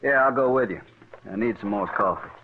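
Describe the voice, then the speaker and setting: An actor playing a weary, stubborn cattle trail boss in an old-time radio western, blunt and folksy with an American western drawl. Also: male voice, fairly low pitch, a little fast, lightly acted. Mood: casual. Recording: muffled, noisy old radio recording.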